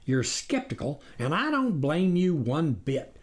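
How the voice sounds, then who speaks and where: A sly storybook wolf played by a narrator posing as a reformed soul. The delivery is persuasive and indignant, with a preachy streak. The pitch sits in a middle register and swings widely. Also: very animated, a little slow. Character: sly, smooth-talking wolf-like stranger posing as vegan.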